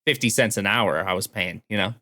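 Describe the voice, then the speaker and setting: Man, podcast co-host, slightly low voice, conversational, gaming chat, very dry sound. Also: brisk, bright, forceful tone, dry recording. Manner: informal, conversational.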